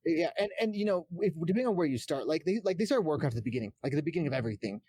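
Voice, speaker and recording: A man's voice: fairly high pitch, expressive, very fast, mellow, breathy edge, dry recording. A man chatting casually as a podcast guest, earnest and a bit hesitant, often restarting his thoughts. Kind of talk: casual chat about games and films.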